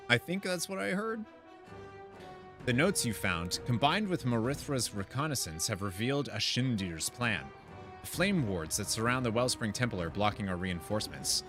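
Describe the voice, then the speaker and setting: A man streaming a video game, chatting in an informal, slangy, expressive way and reading quest text aloud. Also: mid-range voice, normal speed. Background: game music.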